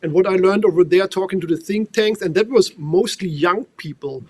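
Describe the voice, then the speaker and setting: A man's voice: high-pitched, normal speed, a little mellow. A man, a general giving considered remarks on a panel.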